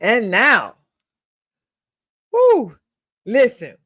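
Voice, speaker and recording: Woman host, emphatic, reads guest intros with pauses for drama. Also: fairly high pitch, wildly swooping pitch, fairly bright tone. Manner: emphatic, pausing between words.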